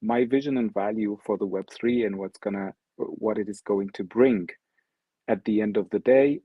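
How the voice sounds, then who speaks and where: A man's voice: lowish voice, dry recording. A man whose first language is Arabic gives an online talk with slides as a guest speaker. He speaks with frequent ums and restarts. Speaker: guest speaker presenting his art project. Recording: dry, dull lo-fi audio.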